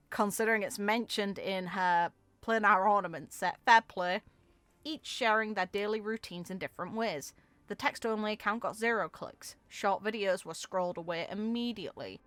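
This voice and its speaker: A woman reading game story text aloud in an expressive way, with casual, informal asides of her own. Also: medium pitch, clean tone, no room sound. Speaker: video game content creator.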